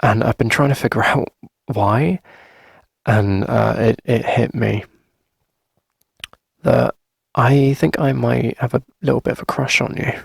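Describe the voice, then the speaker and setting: A man playing a shy, smitten coffee shop owner in an intimate ASMR roleplay, speaking softly and hesitantly with a breathy delivery. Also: mid-range voice, steady tone, unhurried, no room sound, clean studio sound, heavily acted. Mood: nervous, tender. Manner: hesitant, soft-spoken, breathy, nervous little laughs.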